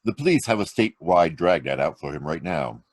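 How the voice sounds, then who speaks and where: A man playing a calm, commanding criminologist hero in an old-time radio drama read over a video call. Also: sits low, a little fast, no echo, studio quality. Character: cool confident male criminologist hero.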